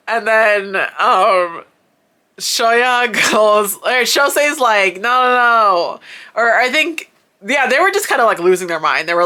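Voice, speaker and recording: Young woman vlogger from Florida, bright and eager, gushing concert story. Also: fairly high pitch. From Florida, United States. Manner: animated, laughing, heavy use of "like". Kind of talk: excited casual storytelling.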